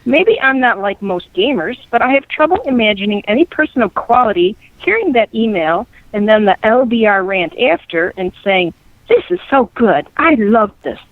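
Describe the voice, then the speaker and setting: Lo-fi muffled recording, middle-aged woman, guest reader, fluent read-aloud delivery, expressive pitch movement. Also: moderate pace, no room sound. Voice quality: clear, fluent reading. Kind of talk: reading online comments aloud.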